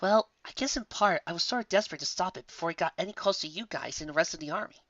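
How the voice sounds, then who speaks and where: An earnest young unicorn scholar from a storybook, voiced by a woman. She speaks higher and brightly, feeling her way through explanations. The recording is slightly muffled. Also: racing, no room sound. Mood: sheepish, explaining. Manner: hesitant, explanatory.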